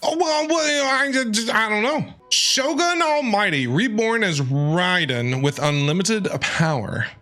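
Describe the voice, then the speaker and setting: A bright, forceful game streamer with a voice of middling pitch that swings widely. The delivery is casual and playful, reacting to the game and reading text aloud. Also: expressive, slow, few pauses. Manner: playful, reactive, talks back to game characters. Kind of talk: casual live gameplay commentary and reading aloud.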